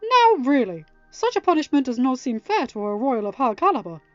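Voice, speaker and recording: A woman's voice: high voice, very animated, a little fast, no echo, dull audio, faint music behind, pitched above the performer's own voice. A woman voicing a fussy male royal councillor in a storybook reading, indignant and formal. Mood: protesting.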